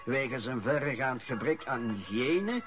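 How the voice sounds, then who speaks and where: A man's voice: medium pitch, animated, a little slow, fairly bright tone. An actor playing a man formally reading out a news report in a radio drama. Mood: solemn, formal. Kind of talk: Dutch-language radio drama acting. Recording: dull lo-fi recording, faint music.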